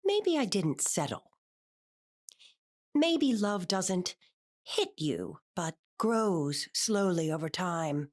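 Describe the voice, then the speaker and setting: A woman's voice: fairly low pitch, sing-song, drawn-out words, exaggerated voice. A woman acting an anxious, controlling middle-aged wife and mother in an audio drama, with an earnest, exacting manner. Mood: tender, reflective. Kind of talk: audio drama acting, confessional monologue and dialogue.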